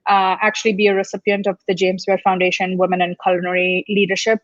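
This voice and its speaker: A woman's voice: mid-range voice, level tone, fast, no echo, muffled recording. A woman in her forties, originally from Mumbai, chatting easily as a guest chef with many fillers and a ready laugh. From India, Mumbai. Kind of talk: conversational interview.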